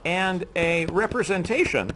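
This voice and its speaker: A man with a cold gives a university lecture in a lecture room, his hoarse voice kept low to save it. His pitch rises and falls in a lively way as he explains, with slight background noise. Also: a little slow. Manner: speaks softly to save his voice, with frequent ums. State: has a cold, losing his voice. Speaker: physics lecturer.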